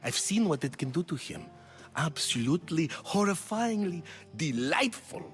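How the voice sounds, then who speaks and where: A vain, theatrical playwright of ancient Greece in a video game, witty and self-mocking. His mid-pitched voice swoops expressively over faint music. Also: big pitch swings, moderate pace. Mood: wry. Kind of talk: video game cutscene acting.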